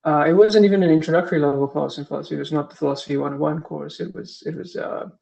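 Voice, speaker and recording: A man's voice: fairly high pitch, racing, gravelly, a little mellow. A man in his thirties, from Singapore, answering interview questions as a guest professor, hesitant, with many fillers and restarts.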